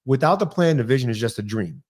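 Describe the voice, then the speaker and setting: A man in his fifties with a New York accent, talking fast as a guest on a podcast. His voice sits at an ordinary middle pitch, and he is energetic and articulate. His talk is casual and full of drive. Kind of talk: casual interview chat.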